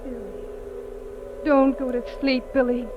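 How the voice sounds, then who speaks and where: An actress playing a tender sweetheart who pleads like a vision, in 1950s radio drama style. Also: female voice, sits high, flat delivery, unhurried. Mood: urgent pleading. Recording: muffled old radio recording, music behind.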